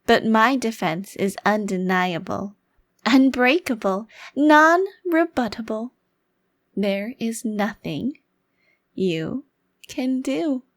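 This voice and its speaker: Woman voicing a youthful vampire crime boss, higher-pitched, unhurried, condescending, theatrical, ASMR roleplay. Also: lively intonation, no echo, studio quality. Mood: smug, mocking, then indignant, defiant. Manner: theatrical, condescending, formal wording with drawn-out emphasis. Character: short, youthful-looking immortal vampire crime lord, smug and taunting. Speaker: audio roleplay performer.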